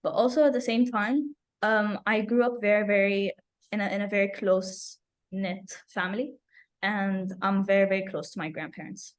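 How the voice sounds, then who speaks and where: A woman's voice: mid-range voice, fairly even intonation, normal speed. Earnest young woman from Abu Dhabi. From Abu Dhabi, UAE. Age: young adult. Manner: earnest, personal, repeats phrases for emphasis. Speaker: climate activist, podcast guest. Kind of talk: reflective interview answers.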